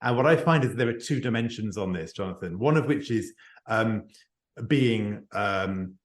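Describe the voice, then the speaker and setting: A man's voice: fairly low pitch, animated, normal speed. A middle-aged man speaking as a guest over a video call, earnest and reflective, often restarting his phrases. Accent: non-native to France, English-speaking. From not France; lives near Paris. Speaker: guest, author and executive advisor. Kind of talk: podcast interview answers. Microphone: remote video call. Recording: echoey room on video call.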